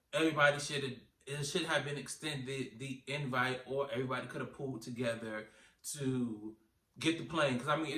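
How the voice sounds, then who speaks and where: A man with a Southern US accent giving casual, chatty commentary as a reviewer, animated, sassy and opinionated, often posing rhetorical questions. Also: medium pitch. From Georgetown, South Carolina, United States. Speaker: reality TV recap reviewer. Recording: roomy echo, distant from the mic.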